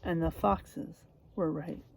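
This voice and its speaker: A woman reading a picture book aloud to children, teacherly and expressive, stopping to prompt them with questions and leaning on story words for drama. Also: low-pitched, slow pace, gravelly, breathy edge, dry recording.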